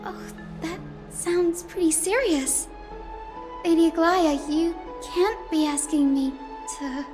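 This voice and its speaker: An actress playing a sweet, friendly, eager young girl in a video game, with a gentle manner. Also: extremely high pitch, fairly even intonation, slow, over music. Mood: anxious, timid. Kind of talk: scripted video game dialogue.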